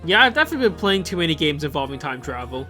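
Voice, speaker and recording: A man giving casual, enthusiastic video commentary in an excitable, conversational way, full of exclamations and asides. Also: fairly high pitch, very fast. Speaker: video host commenting on visual novel openings. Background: music playing behind the voice.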